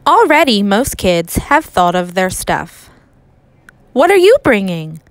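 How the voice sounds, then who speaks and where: Animated woman reading a children's storybook. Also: medium pitch, no room sound. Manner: expressive, emphatic, dramatic pauses. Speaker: teacher reading aloud to children.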